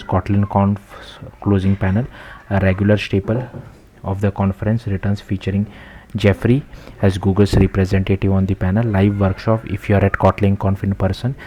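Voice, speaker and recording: A man's voice: sits low, warm tone. A man reading tech news aloud in the manner of a channel host narrating a written article.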